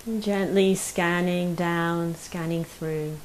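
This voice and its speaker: A woman leading a guided meditation as a teacher, speaking gently and unhurriedly with long pauses. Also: lowish voice, fairly even intonation, poor audio. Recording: faint hiss behind the voice.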